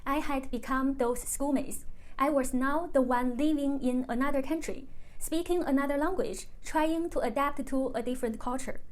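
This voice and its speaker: A woman from China whose first language is Chinese, speaking in English in a calm, measured, carefully scripted monologue as a vlogger. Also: high voice. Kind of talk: reflective personal monologue.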